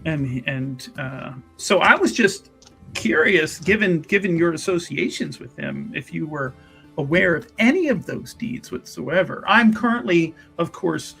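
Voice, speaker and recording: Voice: mid-range voice, lively intonation, a little slow, gravelly, fairly bright tone, slightly rough, exaggerated voice, rough recording. A player voicing a polite, hesitant female church investigator who repeats words while probing, in a tabletop roleplay. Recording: music behind the voice. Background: music.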